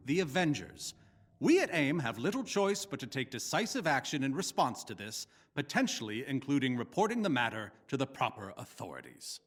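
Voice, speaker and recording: Bitter older scientist in a reverberant hall. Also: male voice, medium pitch. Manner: contemptuous, formal, deliberate menace. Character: bitter, vengeful older male scientist, cold and menacing. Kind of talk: dramatic video game voice acting.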